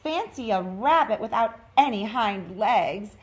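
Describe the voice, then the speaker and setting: A woman voicing a brash, teasing wild rabbit from a storybook, exclaiming in a lively, scornful way. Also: mid-range voice, unhurried, muffled recording, voice pushed up, close to an everyday voice. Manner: taunting, exclaiming. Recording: clear background noise.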